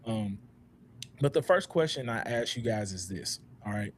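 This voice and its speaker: A low-voiced man in his thirties leads a relaxed panel discussion. He talks in a reflective, loose way, full of "uh"s and "all right?" checks. There is a faint hiss of noise behind him. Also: normal speed. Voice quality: loud voice. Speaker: podcast host.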